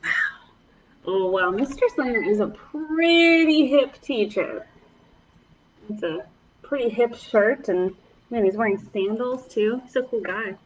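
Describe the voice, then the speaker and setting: A woman's voice: a little high, animated, measured pace, recorded from a distance, highs cut off. A woman children's librarian leading a preschool storytime, reading aloud and chatting encouragingly to the children as her friends.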